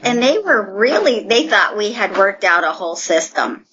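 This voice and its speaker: A woman's voice: mid-range voice, very animated, moderate pace, a bit bright, highs cut off. A woman telling a story in a chatty, enthusiastic way in a casual podcast conversation.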